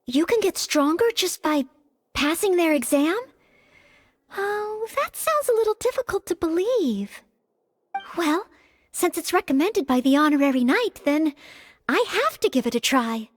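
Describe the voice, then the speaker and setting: A woman's voice: very high voice, big pitch swings, a little slow, no echo, studio quality, exaggerated voice. A woman voicing an earnest, polite young maid-knight in an anime-style video game. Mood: curious, hesitant.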